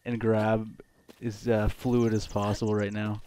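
A man with a slightly low voice commentates casually as he plays a video game. He talks slowly in short, easily awed reactions, with clear game ambience behind him. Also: flat delivery, dark tone, slight background noise. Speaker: let's play commentator. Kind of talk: casual live gameplay commentary.

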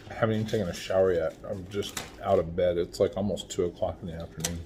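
A man in his forties doing a casual food review at home, chatty and relaxed, filmed on a phone at arm's length while eating. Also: sits low, fast talker, background noise. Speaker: food vlogger. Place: small room at home, window blinds. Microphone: phone camera at arm's length.

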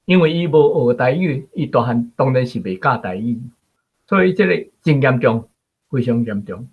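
Husky older Taiwanese man, lo-fi. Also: medium pitch, lively intonation, normal speed. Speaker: guest commentator. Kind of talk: unscripted commentary. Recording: dull lo-fi recording.

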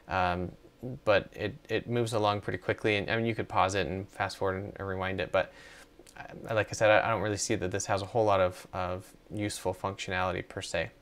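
A middle-aged man narrates an on-screen walkthrough. His voice sits on the low side. He talks casually and conversationally, with some hesitation as he explains things. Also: fairly bright tone, clean tone. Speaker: tutorial presenter.

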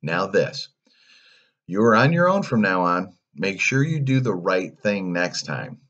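A man voicing a stern but caring female fairy in a storybook, in a measured way. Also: deep voice, very animated, fairly bright tone, highs cut off, heavily acted. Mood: approving, firm. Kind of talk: storybook character voice.

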